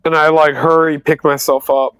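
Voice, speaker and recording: Slightly high-voiced male player. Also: level tone, fairly bright tone, clear, resonant tone, dry recording, low-quality recording. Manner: eager, halting questions. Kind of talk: casual tabletop game play.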